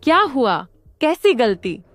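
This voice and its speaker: A woman's voice: high-pitched, very animated, slow, dry recording, soft background music, heavily acted. A woman voicing a level-headed, practical young friend who urges others on in a cartoon story. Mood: urging. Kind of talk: dramatised cartoon story dialogue.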